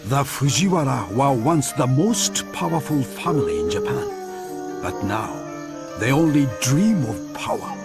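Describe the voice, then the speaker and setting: A solemn, proud narrator in a dramatic video game cutscene about samurai history. His mid-pitched delivery is emphatic and very lively in intonation. Music is clearly heard behind the voice. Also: unhurried. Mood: ominous.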